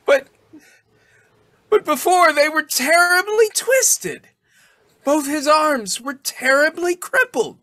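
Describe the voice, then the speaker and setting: An actor playing an overwrought, emotional father in an old-time radio drama, halting and repeating himself. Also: extremely high pitch, lively intonation, sharp tone, no echo, exaggerated voice. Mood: astonished. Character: overwrought, tearful, grateful young father.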